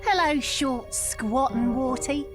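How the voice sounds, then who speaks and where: An actress playing a tough, sarcastic young rat boat captain with a British accent, brash and no-nonsense, in a video game. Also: female voice, high voice, slow, music in the background. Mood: defiant.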